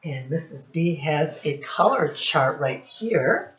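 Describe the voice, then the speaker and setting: A woman teacher speaking to young children in a singsong instructional style, with simple phrases and deliberate repetition. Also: low-pitched, lively intonation, roomy sound. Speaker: children's teacher and read-aloud host. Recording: dull, lo-fi sound.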